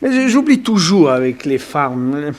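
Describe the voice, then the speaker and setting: Hesitant, high-pitched self-made banker. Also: male voice, big pitch swings, unhurried. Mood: flustered, proud. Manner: hesitant, stammering, repeating words. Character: forty-year-old self-made immigrant banker, awkward, bashful, blunt. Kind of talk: 1960s French radio drama acting.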